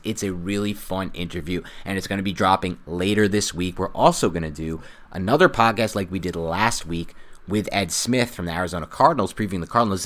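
A man's voice: low voice, fairly bright tone. A man hosting a football podcast, talking in a loose, chatty way full of asides. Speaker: podcast host. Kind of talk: casual sports podcast chat.

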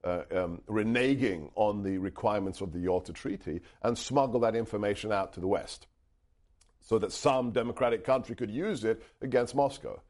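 Deep, dark-voiced raised man. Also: moderate pace. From United Kingdom. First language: Hungarian. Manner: earnest, emphatic, with frequent restarts. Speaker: author and guest. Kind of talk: interview answers, storytelling.

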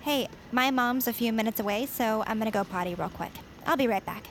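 A woman's voice: a little high, brisk, fairly bright tone, a little hiss or hum. An actress playing a joking, casual teenage girl, a teasing friend, in naturalistic audio drama. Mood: playful.

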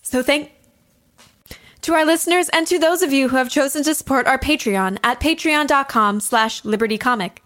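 A woman's voice: high-pitched, moderate pace, fairly bright tone. A woman host reading show announcements who keeps breaking into giggles. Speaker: podcast host reading the outro. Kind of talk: reading announcements, blooper takes.

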